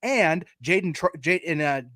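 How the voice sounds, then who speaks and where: A man's voice: on the high side, expressive, moderate pace, a bit bright, no room sound, clean studio sound. A man co-hosting a sports podcast, arguing emphatically about college football in casual, sometimes profane language. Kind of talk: opinionated college football debate.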